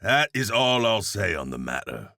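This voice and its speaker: A man playing a grim, sardonic cowboy blacksmith demon hunter in a video game, a gravelly husky voice and a dry, laconic manner. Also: lowish voice, big pitch swings, normal speed, sharp tone, dry recording, full character voice. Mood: dry, wry.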